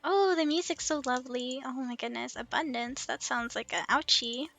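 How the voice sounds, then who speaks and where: A woman with a high, bright voice that breaks into creak talks casually as she streams a game. She is giggly and excitable, exclaiming often, with music playing softly behind her. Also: normal speed. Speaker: streamer, host of the show. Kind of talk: casual livestream game chat. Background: background music.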